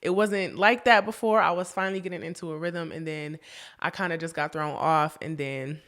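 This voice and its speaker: A woman's voice: sits low, fairly even intonation, normal speed, a bit bright. Young woman, podcast host, chatty, laughs a lot, sniffly from a cold, casual talk to listeners. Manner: chatty, laughs often, talks straight to listeners. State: sniffly, getting over a cold. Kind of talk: casual chat.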